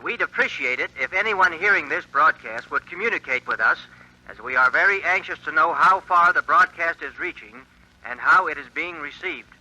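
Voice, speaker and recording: An earnest early radio announcer reading election returns in a formal, measured cadence. His voice is bright and cutting, pitched a little high. It comes through a dull, lo-fi 1940s radio recording.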